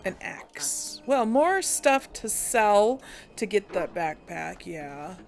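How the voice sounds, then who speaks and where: Slow, gravelly-voiced woman streamer. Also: mid-range voice, very animated, faint music behind. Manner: casual, chatty, laughs often. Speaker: game streamer. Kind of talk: casual let's-play commentary with in-character lines.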